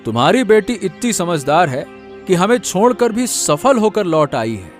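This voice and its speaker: A man's voice: sits high, very animated, normal speed, soft background music. A man playing a middle-aged village farmer father in a cartoon, protective and emphatic in a rustic way. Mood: proud.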